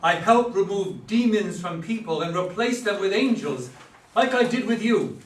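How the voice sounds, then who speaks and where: A man's voice: high voice, animated, normal speed, a little hiss or hum. A man playing a solemn, delusional young man who claims to be God's son, earnest and preachy, in a staged play reading. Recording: echoey room, distant microphone.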